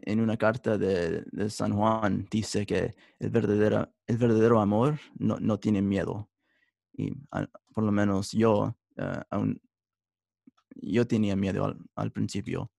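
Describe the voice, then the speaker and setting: A young man, a religious brother studying for the priesthood, speaking Spanish as a third language and answering in a halting way with many restarts. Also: on the low side, creaky, darkish tone, no echo, studio quality. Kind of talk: interview answers.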